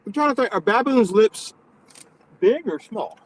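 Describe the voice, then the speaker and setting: A man's voice: high-pitched, expressive, measured pace, rough recording. A man hosting a live stream, teasing his guest in crude, casual banter. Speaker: stream host.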